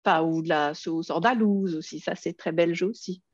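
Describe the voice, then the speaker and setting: A woman's voice: lowish voice, normal speed, no room sound, muffled recording. A woman with a Belgian French accent presenting an online workshop, friendly, with many hesitations and fillers.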